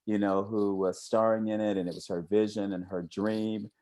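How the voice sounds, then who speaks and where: A man's voice: fairly low pitch, little pitch movement, normal speed, dark tone. An American man hosting an interview in a casual, chatty style. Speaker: interview host. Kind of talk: casual chat.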